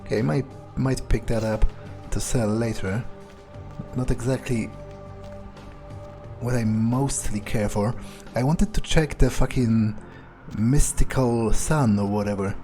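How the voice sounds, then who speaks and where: Slow-talking, puzzled young vampire man played by a gamer. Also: medium pitch, mellow, soft background music, close to an everyday voice. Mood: musing, curious. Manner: muttering, hesitant, swearing casually. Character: cocky foul-mouthed young male fledgling vampire, wary and puzzled. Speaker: game streamer role-playing his character. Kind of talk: in-character improvised gameplay commentary.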